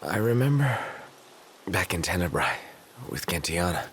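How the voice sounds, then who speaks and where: A man's voice: deep voice, big pitch swings, moderate pace, fairly bright tone, slight background noise. A man playing a brash, blunt young prince in a video game, casual in his way of talking. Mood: wistful. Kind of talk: video game cutscene acting. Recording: music under the voice.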